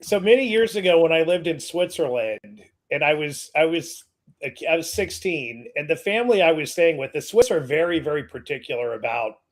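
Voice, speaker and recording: A man hosting a casual video call chat, talking in a rambling, halting way with many fillers and restarts. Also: fairly high pitch, animated. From Washington state. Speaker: host.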